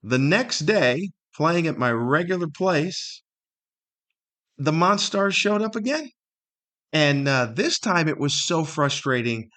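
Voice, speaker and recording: A man's voice: a little high, expressive, slow pace, fairly bright tone. A middle-aged man hosting a casual solo podcast, telling stories in a rambling, wry and self-deprecating way. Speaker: podcast host.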